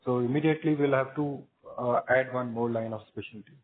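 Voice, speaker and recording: A man's voice: medium pitch, steady tone, a little fast. Indian executive man on a muffled phone line. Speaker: company executive answering analysts. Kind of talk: spontaneous business Q&A on an earnings call. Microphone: conference call line.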